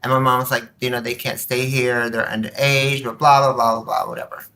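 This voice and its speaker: A man's voice: mid-range voice, brisk. A man speaking as a podcast guest, telling personal stories casually, acting out bits of dialogue and tossing in rhetorical questions. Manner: animated retelling with quoted dialogue and rhetorical questions. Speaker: podcast guest, community activist.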